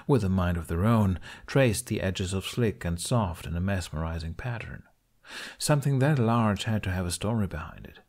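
A man narrates a fiction audiobook from a script. His voice sits fairly low, and his intonation is varied and lively throughout.

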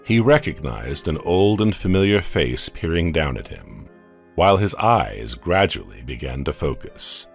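A man narrating a horror story in a slow, dramatic way. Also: rumbling low, big pitch swings, vocal fry, faint music behind.

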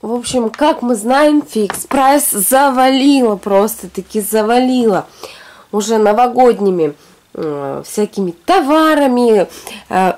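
A woman in her thirties from Russia, hoarse from a cold, chatting casually through a shopping haul at home. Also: fairly high pitch, a little mellow. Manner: chatty, rambling, talks to herself. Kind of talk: casual product haul chat. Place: home, recording products on a fluffy rug.

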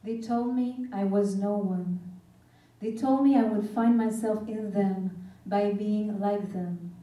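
Brief: woman, slow emphatic poetry reading, very warm tone, echoey hall. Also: medium pitch, fairly even intonation, recorded from a distance. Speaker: poetry reader. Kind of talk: stage poetry recitation.

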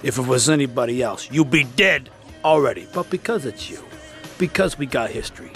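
A man playing a middle-aged, domineering, smooth-talking mob boss in a video game cutscene, with a gravelly voice and pushy tag questions. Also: mid-range voice, animated, a little fast, a bit bright, faint music behind. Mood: cold threat.

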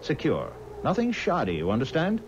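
Mysterious insistent stranger, terse imperious delivery, 1970s radio drama, muffled tape, heavy noise. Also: male voice, a little high, huge pitch swings, moderate pace. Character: insistent, mysterious male stranger ordering his own coffin. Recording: dull, noisy old radio recording.

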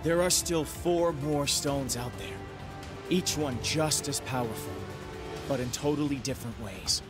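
An actor playing a cocky, earnest young space-hero leader in a video game, with emphatic delivery. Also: male voice, mid-range voice, unhurried, over music, close to an everyday voice. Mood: rousing. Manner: emphatic, speechifying. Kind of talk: video game cutscene acting.

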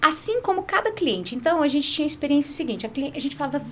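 A woman's voice: high-pitched, very animated, quick pace, fairly bright tone, slight background noise. A Brazilian woman guest telling her business story conversationally, acting out bits of dialogue as she goes. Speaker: podcast guest, business owner. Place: small padded podcast studio. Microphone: studio mic on boom arm.

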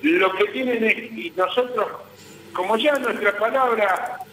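An Argentine man with a high, forceful voice gives a live news interview. He argues insistently and poses his own questions. The remote call sounds roomy, with some faint noise. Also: unhurried. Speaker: guest, transport business association vice president.

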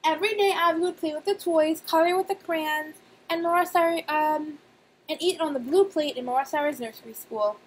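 A young woman, a nursery teacher, reading aloud and talking to young children in simple, expressive speech with emphatic pauses. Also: sits high. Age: young adult. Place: small indoor room. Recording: clear background noise, webcam-style room recording.

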